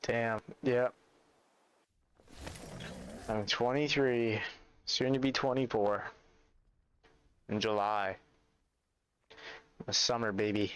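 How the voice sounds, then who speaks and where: A man's voice: mid-range voice, fairly even intonation, slow, long pauses, a bit bright, clear, resonant tone. A man, chatting casually with his livestream chat while playing a hunting game. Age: 20s. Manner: laid-back, chatty, reads and answers chat. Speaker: streamer.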